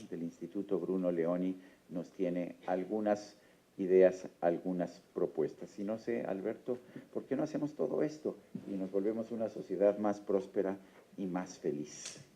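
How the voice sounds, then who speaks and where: Deep-voiced man moderating a presentation. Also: moderate pace. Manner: rhetorical, animated, with fillers. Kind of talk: public presentation.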